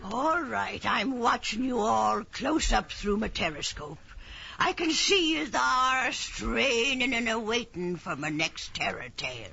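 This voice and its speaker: A man's voice: very high voice, sing-song, a little slow, creaky, pushed and bright, heavily acted. A man voicing a creepy, folksy old horror host who spins campy terror tales with gleeful menace. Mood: sly menace. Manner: folksy dialect, gleeful ominous ranting. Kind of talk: campy horror radio storytelling. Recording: dull, noisy lo-fi recording.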